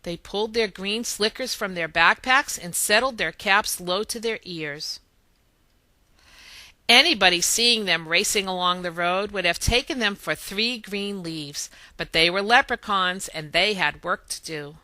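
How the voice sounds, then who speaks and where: A woman reading a children's story aloud in expressive narration. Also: mid-range voice, measured pace, no echo. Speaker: storybook reader.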